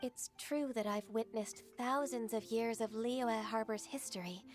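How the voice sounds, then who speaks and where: Dutiful, gentle game heroine acted by a woman: higher register, courteous and sincere, faint music underneath. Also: close to an everyday voice. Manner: soft, polite, earnest, measured. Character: gentle, dutiful half-adeptus female secretary, long-lived. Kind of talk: video game voice acting.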